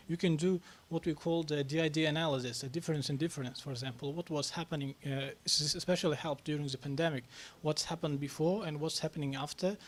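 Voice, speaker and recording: A man answering questions on a press club panel, talking haltingly with many fillers and restarts. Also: fairly high pitch. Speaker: panel speaker on national reforms.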